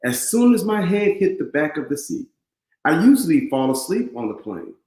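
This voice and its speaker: Storybook role: man as affectionate eleven-year-old mama's boy, earnest and animated, roomy echo. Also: fairly high pitch, moderate pace, voice pushed up. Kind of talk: read-aloud children's storybook.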